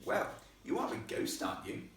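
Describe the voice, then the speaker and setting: A man's voice: normal speed, a bit bright, natural voice. A man voicing a posh, well-spoken young upper-class girl, indignant and defiant, in a storybook reading. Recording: echoey room, distant microphone.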